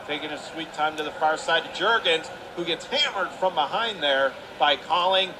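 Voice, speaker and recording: High-pitched male hockey play-by-play commentator, noisy arena. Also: moderate pace. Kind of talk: live radio sports commentary. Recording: clear arena noise behind the voice.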